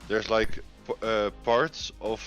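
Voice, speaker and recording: A man chatting casually and explaining things in a hesitant, halting way. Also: lowish voice, level tone, fairly bright tone, lo-fi sound. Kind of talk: casual chat. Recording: music behind the voice. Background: music.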